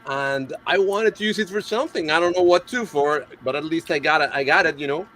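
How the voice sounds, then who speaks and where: A man in casual show-and-tell chat, good-humoured, halting and repeating his words as he explains. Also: on the high side, crowd noise. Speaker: hobbyist guest on the stream. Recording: faint noise, room ambience.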